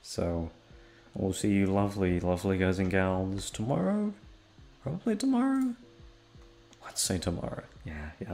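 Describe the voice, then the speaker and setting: A young man rambling in a casual chat, swearing often and jumping into high-pitched exclamations. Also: creaky, a little mellow. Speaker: audio roleplay creator.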